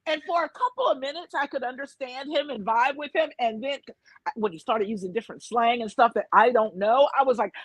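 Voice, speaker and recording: A woman in her fifties co-hosting a casual chat, animated and sassy, laughing often. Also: on the high side, fairly quick, breathy edge, no echo, low-quality recording. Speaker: co-host, actor.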